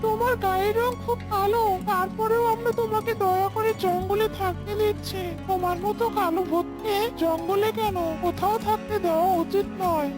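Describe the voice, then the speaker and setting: Woman voicing a very high-pitched, haughty deer. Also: fairly even intonation, normal speed, hardly any pauses, music in the background. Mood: scornful. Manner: scornful. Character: haughty, quarrelsome, insulting deer.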